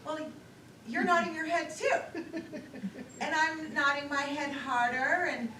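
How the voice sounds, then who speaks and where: A woman's voice: high-pitched, fairly even intonation, measured pace, pushed and bright. A woman acting in a live one-act play as a nervous, self-conscious woman rambling through an awkward date. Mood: confused, eager. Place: lakeside theater stage, recorded from afar. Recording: distant, echoey, noisy live theater recording.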